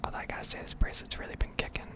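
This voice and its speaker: Whispering woman, soft ASMR game commentary. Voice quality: breathy, unvoiced whisper. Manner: unhurried, rambling, thinking aloud. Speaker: gaming ASMR video host.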